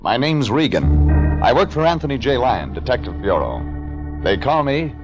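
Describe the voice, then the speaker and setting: Cynical male private eye, animated mid-pitched voice, muffled vintage radio with music. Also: moderate pace. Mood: wry narrating. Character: wry, cynical hardboiled male private investigator. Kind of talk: 1940s radio detective narration and acting. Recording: dull vintage radio recording, organ music.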